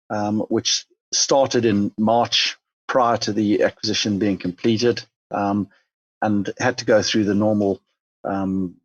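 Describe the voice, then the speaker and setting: A man with a Zimbabwean accent talks through a business interview in a low, husky voice. He sounds measured and pauses often on fillers. The recording is dull and dry. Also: no echo. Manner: measured, many fillers and restarts. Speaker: mining company chief executive.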